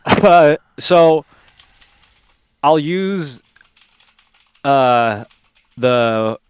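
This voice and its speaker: A man's voice: fairly high pitch, lively intonation, very slow, smooth, dry recording. A man talking casually and thinking aloud as a player in a tabletop roleplaying game.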